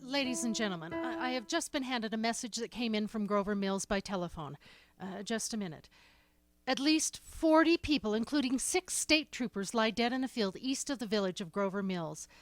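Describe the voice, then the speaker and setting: Actress as an announcer, higher voice, rattled bulletins, dry studio. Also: female voice, lively intonation, no room sound, soft background music, lightly acted. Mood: urgent. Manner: hurried, stumbling bulletin reading. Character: shaken radio news announcer reading bulletins. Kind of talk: 1930s radio drama acting.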